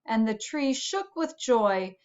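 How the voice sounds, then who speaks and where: A woman's voice: fairly high pitch, expressive, slow, darkish tone, muffled recording. A woman reading a storybook aloud to children, like a school principal addressing pupils.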